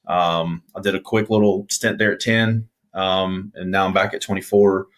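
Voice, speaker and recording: A man's voice: deep voice, level tone, moderate pace. A man, a firefighter, introducing himself in a hesitant way with many ums. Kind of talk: casual interview.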